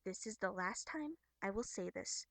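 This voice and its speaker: A woman voicing a bubbly, earnest young troll girl from a webcomic, scolding but caring, with theatrical, expressive delivery. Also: medium pitch, normal speed, a little hiss or hum, close to an everyday voice. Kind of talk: amateur character voice acting from a webcomic.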